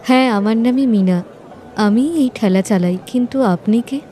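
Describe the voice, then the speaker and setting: A woman's voice: on the high side, normal speed, warm tone, slight background noise, lightly acted. A woman voicing a humble, hardworking street-seller mother in a moral-story cartoon, polite and plain-spoken. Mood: anxious, polite.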